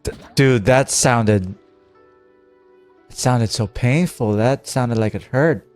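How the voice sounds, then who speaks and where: A man's voice: mid-range voice, takes it slowly, a little mellow. A man streaming a game with casual, reactive, jokey commentary. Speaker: gaming streamer. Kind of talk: casual let's-play commentary. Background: game music.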